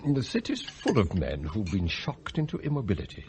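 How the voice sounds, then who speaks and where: An actor playing a sardonic, scheming English butler in a radio drama, formal and articulate with theatrical flourishes. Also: male voice, lowish voice, wildly swooping pitch, moderate pace, darkish tone, slight background noise. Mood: bitter, fervent. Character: eloquent, scheming male butler, sardonic and idealistic.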